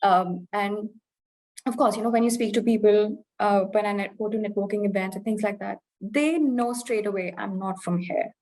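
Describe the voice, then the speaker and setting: A woman in her twenties from India, with an Indian English accent softened by life in the UK, speaking earnestly and reflectively as an interview guest, with fillers and restarts. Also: medium pitch, moderate pace. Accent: Indian English, softened by years in the UK. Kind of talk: candid conversational interview.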